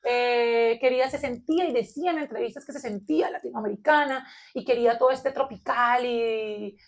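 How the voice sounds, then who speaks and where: A Colombian woman with a Colombian accent, co-hosting a philosophy discussion and thinking aloud in an animated way, with many fillers. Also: a little high, brisk. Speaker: podcast co-host. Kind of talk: philosophical round-table discussion.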